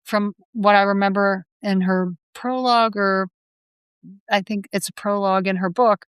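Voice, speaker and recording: A woman's voice: medium pitch, steady tone, moderate pace, clean tone, dry recording. A woman, a past life hypnotherapist, answering interview questions in a rambling, conversational way with frequent restarts and self-corrections.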